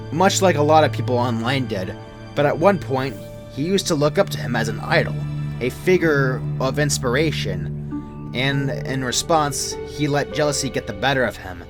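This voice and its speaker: A young man reading a scripted first-person story as a creepypasta narrator. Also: medium pitch. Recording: music behind the voice. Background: music.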